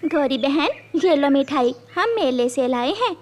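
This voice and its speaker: A woman voicing a caring mother bird in a children's cartoon, gentle and earnest. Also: high-pitched, animated, a little slow, a little hiss or hum. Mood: friendly, earnest.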